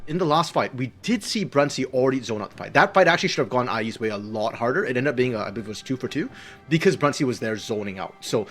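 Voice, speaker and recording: A man's voice: mid-range voice, expressive, fast, fairly bright tone, faint music behind. A man giving esports colour commentary, explaining strategy at length in an analytical way.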